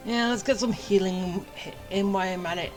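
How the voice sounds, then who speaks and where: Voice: medium pitch, steady tone, normal speed, over music. A game streamer giving casual playthrough commentary, joking along and reacting to the dialogue.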